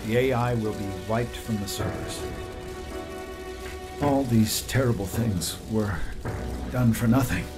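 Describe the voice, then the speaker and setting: An actor playing a remorseful middle-aged tech executive in a video game, speaking formally and solemnly as if making a public confession. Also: male voice, lowish voice, music in the background, lightly acted. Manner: solemn, formal, deliberate phrasing. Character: remorseful male tech CEO confessing his crimes. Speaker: company chief executive.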